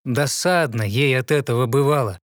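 A man narrating a fairy tale in verse with expressive, rhythmic recitation. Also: medium pitch, normal speed, dry recording, studio quality. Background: music behind the voice.

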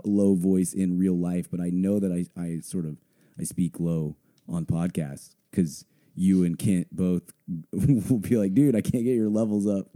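A young man hosting a casual sports podcast, hoarse from a blown-out voice, rambling with many fillers. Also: fairly low pitch, dark tone. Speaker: podcast host.

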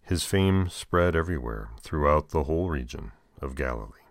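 American male, deep register, dry recording. Deacon hosting scripture readings with informal, deliberately phrased commentary. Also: animated, no echo. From United States.

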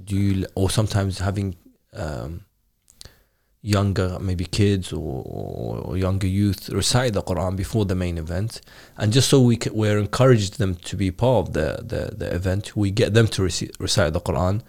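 A very low-voiced man with a gravelly edge asks questions in a loose, conversational way. He often stops and restarts his sentences, circling around his point before landing on it. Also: lively intonation, a little mellow. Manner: halting, many restarts and fillers. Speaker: interviewer. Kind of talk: casual interview.

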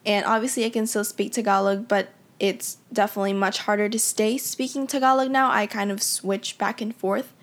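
A woman's voice: mid-range voice, steady tone, fairly quick, few pauses. A young woman who grew up in the Philippines, reflecting in a casual, conversational way with frequent fillers as she tells her own story. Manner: reflective, conversational, many "you know" fillers. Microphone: audio only, unseen.

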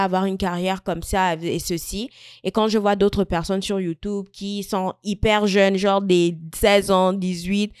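Reflective young woman, smooth clear voice. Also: mid-range voice, dry recording, clean studio sound. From Equatorial Guinea. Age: 20s. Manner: thoughtful, searching, many fillers and restarts. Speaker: vlogger. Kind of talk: reflective personal talk to camera.

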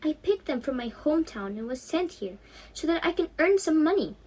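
A woman's voice: high voice, very animated, brisk, darkish tone, voice pushed up. A teenage young woman voicing a poor, plaintive young flower seller in a storybook reading. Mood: dejected. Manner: plaintive, crying out. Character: poor, hungry, sorrowful young flower-selling girl.

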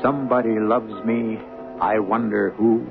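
Gravelly, low male radio drama host. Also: steady tone. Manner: theatrical, musing, rhetorical pauses. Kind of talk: dramatic scripted radio narration. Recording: narrow old radio recording. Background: music.

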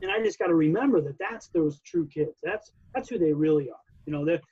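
A man's voice: a little high, animated, brisk, mellow, husky, lo-fi sound. A man, a coach, talking casually and reflectively, with many "you know"s. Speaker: wrestling coach. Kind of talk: casual conversation.

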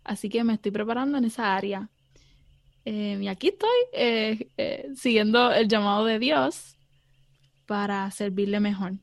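A woman's voice: medium pitch, normal speed, no echo. A young Puerto Rican woman, a theology student, answering interview questions earnestly with many fillers and some English words. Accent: Puerto Rican Spanish. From Puerto Rico.

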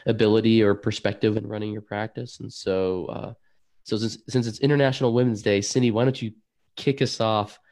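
A middle-aged man hosting a podcast live stream, talking in a halting way with many fillers. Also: lowish voice, darkish tone, dry recording, studio quality. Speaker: podcast host. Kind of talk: casual live-stream chat.

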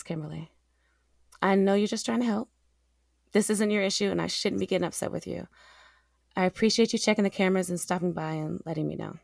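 An actress plays a fed-up, defiant American woman in an audio drama. Her mid-pitched voice is highly animated, swinging widely with blunt emphasis. The sound is close and dry. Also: creaky, no echo. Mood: weary, upset. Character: fed-up, fiercely defiant young woman facing abusive ex.

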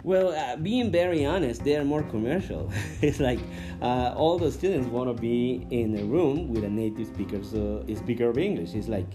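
Man, Spanish native, teacher-coordinator type; unhurried, musing delivery over clear guitar backing. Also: mid-range voice, lively intonation. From Mexico. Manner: thoughtful, frequent "uh" pauses. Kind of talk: talking to camera. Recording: guitar music playing under the voice. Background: guitar music.

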